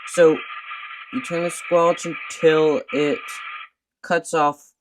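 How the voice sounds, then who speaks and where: A man's voice: on the high side, level tone, slow pace, noisy background. A man giving a casual hands-on demonstration of a radio, explaining each control in turn and pointing things out as he goes.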